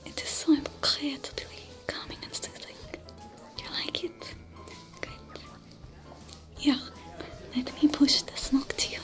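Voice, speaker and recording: A woman voicing a secretive, caring female alien smuggler with a French accent, in a soft, breathy, close-mic ASMR whisper that stays gentle and reassuring. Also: high voice, takes it slowly, long pauses. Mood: soothing whisper. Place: indoor set dressed as a sci-fi bar. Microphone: close sensitive mic. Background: soft music.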